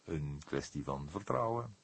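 Man playing a deep, deliberate old detective. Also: expressive, gravelly, breathy edge, heavily acted. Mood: pensive, wry. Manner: slow, deliberate, drily modest. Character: old grey-haired male police detective, wry, courteous, ponderous. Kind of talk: Dutch audiobook character voice.

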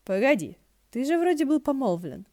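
A woman voicing a proud, sly male ghost lord in an audiobook, sarcastic and quick to bark. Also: sits high, big pitch swings, warm tone, no room sound, clean studio sound, pitched above the performer's own voice. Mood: teasing, proud. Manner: sarcastic, barking, teasing.

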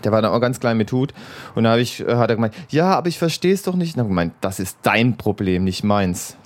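A man's voice: on the low side, expressive, fast. A man from southern Germany hosting a casual radio show, talking in a laid-back, agreeable way with dry humour. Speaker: host of an internet radio show. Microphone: home studio mic.

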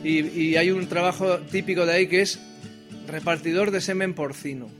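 A man with a notably high voice and a Spain Spanish accent talks over clear acoustic guitar. He rambles through self-deprecating comic banter like a singer-songwriter between songs, tossing out little tag questions as he goes. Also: fairly even intonation, moderate pace, a bit bright. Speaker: singer-songwriter and comedian performing live. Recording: live performance with acoustic guitar.

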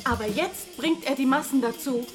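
An indignant, gushing lady patron in a stylised radio play, played by a woman. The voice is high and affected, with clear music playing behind it. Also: normal speed, lightly acted.

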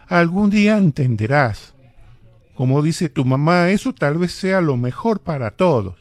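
A man with an Argentine accent playing a gentle, resigned old grandfather in a radio drama, speaking in a weary, patient way. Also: a little high. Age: older.

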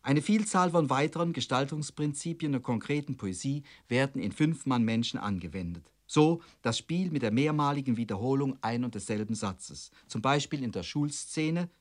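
A man reads a scripted radio lecture as a commentator, formal and measured, phrasing long sentences precisely. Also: mid-range voice, animated.

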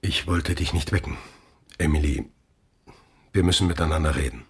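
Sehr rauer, tiefer, ernster Vater. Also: male voice, extremely low pitch, moderate pace, husky, full character voice. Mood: grave, remorseful. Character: troubled middle-aged father confessing gravely. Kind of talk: German radio play acting.

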